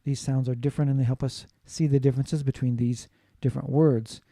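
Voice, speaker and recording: A man's voice: mid-range voice, flat delivery, brisk, warm tone, no echo, studio quality. A man from the United States giving a classroom lecture on phonetics, explaining steadily and often asking "right?" to check in with students. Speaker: English teacher.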